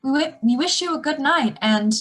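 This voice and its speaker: High-voiced woman as a macabre radio host. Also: animated, moderate pace, fairly bright tone. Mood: wry, composed. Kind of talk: scripted radio-play narration.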